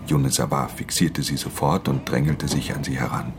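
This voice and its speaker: An actor playing a cool, watchful pickpocket who narrates his theft in a radio drama, in a measured, hushed, deliberate way. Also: a bass voice, fairly even intonation. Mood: controlled tension. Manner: measured, deliberate, literary telling. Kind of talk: scripted first-person crime narration in radio drama. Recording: loud music and noisy ambience behind.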